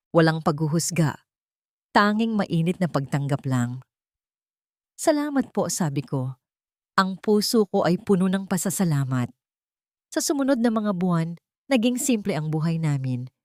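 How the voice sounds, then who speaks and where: A Filipino woman narrates a dramatic first-person story in a scripted audiobook style. Her voice sits fairly low yet moves widely in pitch, and the recording is close and dry. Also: lively intonation, normal speed, darkish tone, dry recording.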